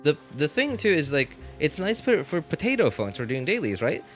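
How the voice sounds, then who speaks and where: A man's voice: a little high, sing-song, moderate pace, a bit bright. A man chatting casually on a livestream as a game streamer, rambling in slangy talk and often repeating his phrases. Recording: music behind the voice. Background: background music.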